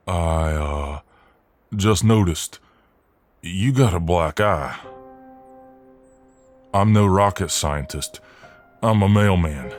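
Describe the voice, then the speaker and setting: A man acting out a friendly, protective, cocky Southern US mailman in an ASMR role-play, with a folksy, soothing drawl. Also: sits low.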